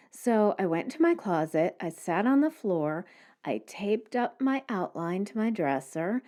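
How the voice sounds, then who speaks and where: A woman's voice: lowish voice, warm tone. A woman hosting a solo podcast, explaining things step by step in plain-spoken language. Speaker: podcast host.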